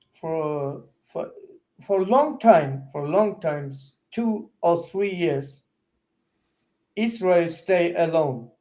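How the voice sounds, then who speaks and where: A Turkish man with a fairly high, mellow voice speaks slowly in broken English. He halts and repeats words while looking for what to say. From Turkey. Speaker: activist. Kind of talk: street debate.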